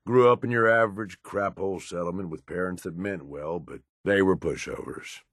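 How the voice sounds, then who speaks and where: Deep-voiced, gruff American raider. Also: male voice, normal speed, fairly bright tone, no room sound, studio quality. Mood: bitter, reflective. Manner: gruff, plain-spoken, drawling slangy delivery. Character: hard-bitten male raider lieutenant, cynical, blunt, mercenary. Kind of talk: video game companion dialogue.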